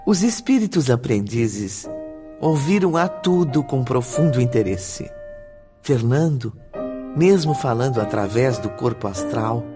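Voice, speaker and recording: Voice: medium pitch, expressive, unhurried. A narrator reading scripted, storytelling narration for a radio drama. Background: prominent piano and keyboard music.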